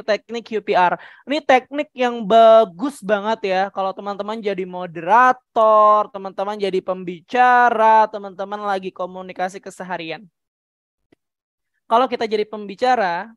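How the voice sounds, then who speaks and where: A woman's voice: mid-range voice, dry recording, studio quality. A woman from Jakarta teaching public speaking in an interactive online lesson, instructive and emphatic, stressing key words. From Jakarta, Indonesia.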